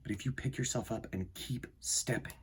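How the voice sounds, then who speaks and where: A man hosting a children's storytime, reading aloud and talking to young listeners in an earnest, emphatic, deliberate way. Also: mid-range voice, slightly rough. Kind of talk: expressive storybook read-aloud and talk to children.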